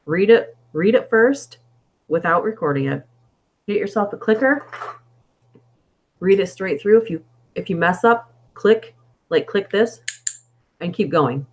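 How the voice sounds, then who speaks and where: A woman with a hoarse, fading voice, chatting casually and meandering on a live stream between story readings, on a budget desktop microphone. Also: medium pitch, animated, measured pace, pauses often, a little hiss or hum. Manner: chatty and meandering, thinking aloud. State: hoarse, losing her voice.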